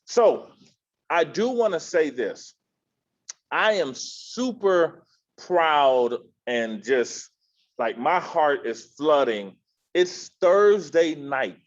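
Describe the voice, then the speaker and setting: A man from Miami with a slight Southern twang gives an informal, slangy motivational presentation, repeating phrases and addressing listeners directly, over dull lo-fi audio. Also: medium pitch. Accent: American, slight Southern twang. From Miami, Florida. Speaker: keynote speaker, educator and author. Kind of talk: motivational presentation to an online audience.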